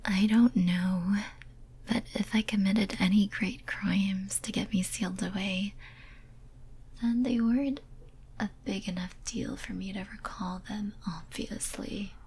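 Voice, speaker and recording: Casting: actress for lonely caged female demon, ASMR roleplay. Very breathy whisper, slow, tender and hesitant. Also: mid-range voice, steady tone, darkish tone. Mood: pleading, defensive. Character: lonely, clingy, pleading female demon sealed in a cage.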